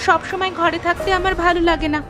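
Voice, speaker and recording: A woman's voice: very high-pitched, little pitch movement, quick pace, over music, a crowd in the background. A woman playing a defiant, restless young daughter in a horror cartoon.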